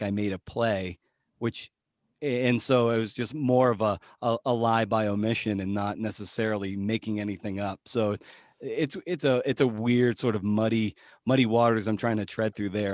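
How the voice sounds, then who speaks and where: A man's voice: on the low side, darkish tone, no echo, clean studio sound. A man talking casually as an interview guest on a podcast, thinking aloud and questioning himself as he goes. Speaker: podcast guest, journalist and memoir writer. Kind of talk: casual conversational interview answers.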